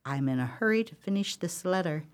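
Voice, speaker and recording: A woman's voice: fairly low pitch, lively intonation, brisk, lightly acted. A woman acting a stern, impatient sister in a radio play, curt and scolding. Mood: irritated, curt. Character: stern, impatient, sharp-tongued sister who scoffs at fear.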